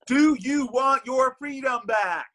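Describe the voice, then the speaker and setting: A man playing an indignant, needy underdog matchmaker, emphatic and plaintive, with a husky voice, in a comedy read. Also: extremely high pitch, takes it slowly, music in the background. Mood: indignant, rallying. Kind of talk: comedy script table read.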